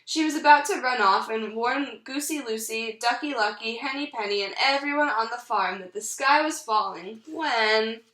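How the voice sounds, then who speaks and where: A woman reads a children's story aloud with expression. Her voice sits high and bright, and the room adds a noticeable echo. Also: normal speed, hardly any pauses. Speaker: storybook reader. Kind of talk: expressive read-aloud of a children's picture book. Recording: echoey, roomy sound.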